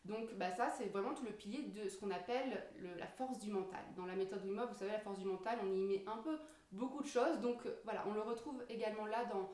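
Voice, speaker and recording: A woman's voice: mid-range voice, quick pace, darkish tone. A woman giving an informal explanatory yoga lesson, searching for her words as she goes. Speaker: yoga teacher. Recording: roomy echo, distant microphone.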